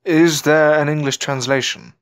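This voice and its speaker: A man's voice: fairly high pitch, steady tone, normal speed, clean tone, close to an everyday voice. An actor playing an anxious young man who works spells in an audio drama, hesitant and old-fashioned in his politeness. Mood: doubtful. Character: anxious, proper young male spell-caster in his twenties.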